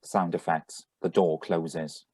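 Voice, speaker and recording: A man with a husky voice reading out script cues and stage directions. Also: low voice, little pitch movement, takes it slowly, a little mellow. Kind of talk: flat scripted cue reading.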